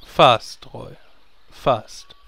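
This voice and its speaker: An actor playing a sensible adventurer with a dry, matter-of-fact manner in a comic radio play. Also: male voice, extremely slow, sharp tone. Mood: deadpan.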